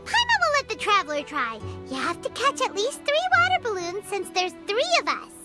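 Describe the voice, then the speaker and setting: A chatty little floating fairy girl in a game, with a very high, squeaky voice that leaps around. She is greedy and refers to herself in the third person. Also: huge pitch swings, normal speed, loud music behind the voice, heavily acted. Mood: chirpy. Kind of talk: game dialogue.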